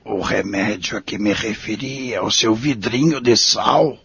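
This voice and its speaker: An actor playing a kindly, jovial elderly man in an audio soap, husky and friendly. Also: lowish voice, a little slow, fairly bright tone, no echo, full character voice. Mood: friendly, conspiratorial. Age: older. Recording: dull lo-fi audio.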